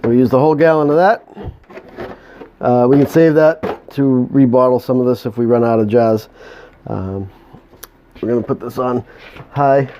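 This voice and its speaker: A man's voice: mid-range voice, fairly even intonation, dark tone, slight background noise. A man with a New England accent giving a casual home kitchen demonstration, mumbling and restarting often. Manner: mumbling, halting, many restarts. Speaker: home cook demonstrating a recipe.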